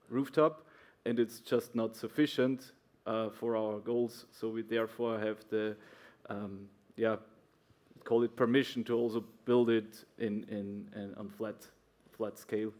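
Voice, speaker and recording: A man from Austria answering on a conference panel for a solar association, hesitant with many fillers. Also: lowish voice. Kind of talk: panel discussion.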